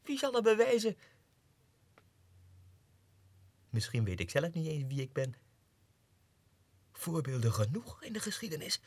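A self-important Russian clerk sliding into madness in an old radio play. His voice is husky and very animated in pitch, lurching between muttered whispers and agitated outbursts. The studio sound is dry and close. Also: normal speed, no room sound, exaggerated voice. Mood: agitated, insistent. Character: deluded low-ranking Russian clerk, obsessive, self-important, going mad. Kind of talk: 1960s radio drama monologue, diary entries.

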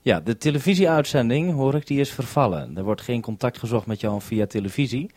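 A man's voice: medium pitch, fairly quick, darkish tone, slight background noise. Een man die als radiopresentator onvoorbereid en wat zoekend een radioverbinding leidt, informeel van toon. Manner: informal, hesitant, uses radio procedure. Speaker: radio presenter running the link. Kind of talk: unscripted radio hosting.

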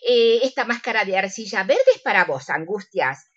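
A woman's voice: medium pitch, animated, moderate pace, a bit bright, dull audio. A woman playing a prim, meek older widow who fusses and coaxes, with an Argentine accent, in a radio theatre comedy. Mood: fussing, coaxing. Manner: coaxing, chatty, fond of diminutives.

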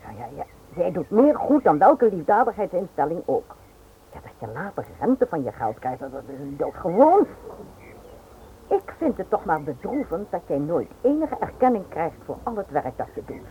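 A woman's voice: lowish voice, big pitch swings, moderate pace, phone-quality sound. A woman playing a well-bred, teasing, chatty wife in a radio drama. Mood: fondly insistent. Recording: thin, noisy old radio recording.

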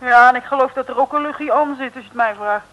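An actress in an old comic radio serial playing a naive, deferential young sidekick to a detective. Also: female voice, sits high, quick pace, fairly bright tone, highs cut off. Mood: curious, alarmed. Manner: deferential, questioning.

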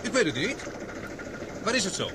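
A man's voice: sits high, big pitch swings, moderate pace. An actor playing a curt, self-assured young man, a former bomber pilot, in a radio play. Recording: boat engine noise.

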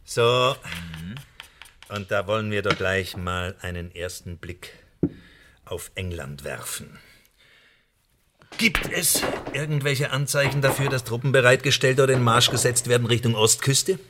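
An actor in a radio play voicing a smug French spymaster who blackmails with mock friendliness and taunting, theatrical emphasis. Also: male voice, mid-range voice, a little slow, pauses often, noisy background, lightly acted.

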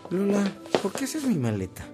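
Earnest young seminarian, lively pitch. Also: male voice, moderate pace, loud music behind the voice. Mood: humble, grateful. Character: humble, grateful young man leaving for the priesthood. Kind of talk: Spanish-language radio drama acting.

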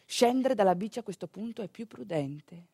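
A woman with a northeastern Italian accent telling a theatrical monologue in an expressive, conversational manner. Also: mid-range voice, normal speed, creaky, husky, dry recording, studio quality. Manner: expressive, conversational, with rhetorical questions and asides. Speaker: stage actor and storyteller.

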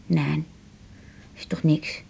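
An actress playing a wounded female patient in a radio drama, telling of herself haltingly in a husky, gravelly voice. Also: deep voice, little pitch movement, warm tone, full character voice. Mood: quietly indignant. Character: wounded female patient recalling a hard childhood. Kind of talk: naturalistic Dutch radio drama acting.

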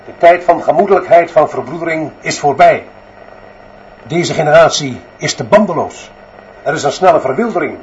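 An actor playing a stern, dictatorial school director in a radio drama, declaiming in emphatic, measured phrases. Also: male voice, a little high, lively intonation, a little hiss or hum, lightly acted. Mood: stern, commanding. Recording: slightly dull, muffled sound.

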